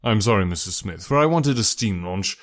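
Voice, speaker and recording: A man voicing a shrewd, suave detective in an audiobook, calm, measured and smoothly bland. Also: fairly low pitch, natural voice. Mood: bland, probing. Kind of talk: audiobook character voice.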